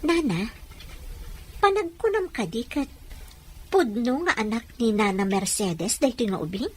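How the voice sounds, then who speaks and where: A woman with an Ilocano accent acting a gentle, worried wife and daughter in an audio drama. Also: on the high side, lively intonation, unhurried, slightly rough. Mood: soft, affectionate. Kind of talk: serial radio-style drama in Ilocano.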